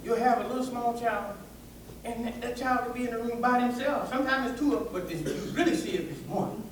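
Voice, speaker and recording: A man preaching an animated, folksy sermon to listeners, tossing out rhetorical questions and colloquial asides. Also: very high-pitched, fairly quick, slight background noise. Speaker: preacher giving a public religious address. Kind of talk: animated sermon with call-and-response to a live audience. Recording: echoey hall, distant microphone.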